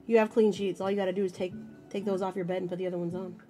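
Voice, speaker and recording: A hoarse woman with a husky voice, sick with a chest illness, rambling offhandedly while crafting on a live stream. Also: medium pitch, flat delivery, racing, mellow, faint music behind. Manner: rambling, offhand, self-deprecating. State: hoarse, sick with bronchitis and pneumonia. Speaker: mixed media artist streaming live. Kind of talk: casual livestream chat while crafting.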